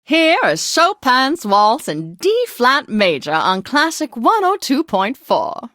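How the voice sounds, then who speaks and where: A genteel classical radio hostess with a high, swooping voice, recorded close and dry. She talks in prim, effusive, old-fashioned phrases and takes her time over them. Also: wildly swooping pitch, slow, fairly bright tone, no room sound, full character voice. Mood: genteel, appreciative. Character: refined, genteel woman classical radio DJ, gushing and posh. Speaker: radio host. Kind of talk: scripted classical radio DJ patter.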